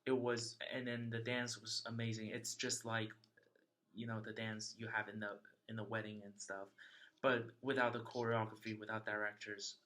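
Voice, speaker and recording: A young man from China telling a travel story in casual chat, chatty and halting with many fillers. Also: lowish voice, flat delivery, sharp tone. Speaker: interviewee sharing a travel story.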